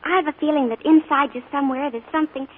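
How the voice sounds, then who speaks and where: An earnest, dreamy teenage girl, voiced by a woman in a 1940s radio play. Her voice sits very high and sounds eager and breathless. The thin, scratchy broadcast sound frames it. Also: quick pace, narrow, tinny audio, close to an everyday voice.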